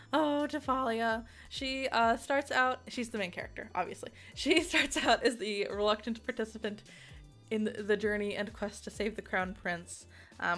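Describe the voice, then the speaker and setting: A woman giving a casual, chatty game review, giggly and excitable, with rambling asides. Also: medium pitch. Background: music.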